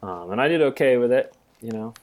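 A man's voice: medium pitch, lively intonation, a little fast, darkish tone. A middle-aged man co-hosting a casual podcast discussion, rambling a bit with self-deprecating humor. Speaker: podcast co-host, music theory teacher.

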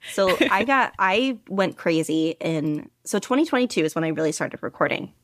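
A woman's voice: lowish voice, animated, moderate pace, no room sound, clean studio sound. A woman guest chatting casually in an interview, rambling with lots of fillers and frequent giggles. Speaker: podfic reader and guest.

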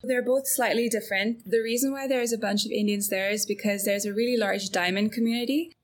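A young woman from Belgium, a guest in a casual interview, chatting in a relaxed way with many fillers and frequent laughs. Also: mid-range voice, fairly quick.

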